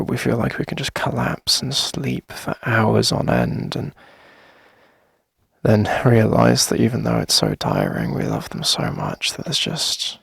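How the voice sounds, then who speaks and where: Casting: male actor as soft-spoken, coaxing boyfriend for intimate ASMR, very breathy and husky, animated pitch, close dry mic. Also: no echo, full character voice. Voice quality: husky, breathy, creaky low murmur. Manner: gentle, coaxing, lingering pauses. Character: tender, reassuring boyfriend longing to start a family. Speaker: ASMR audio roleplay performer. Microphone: close dry microphone.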